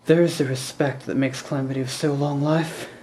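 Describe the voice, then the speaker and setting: A young man playing an anguished, brooding prince in mourning in a slow theatrical Shakespearean monologue, with deliberate, dramatic pauses. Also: medium pitch, steady tone, a little mellow, close to an everyday voice. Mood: weary brooding. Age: young adult. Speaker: student actor performing monologues. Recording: roomy echo, distant mic, faint noise.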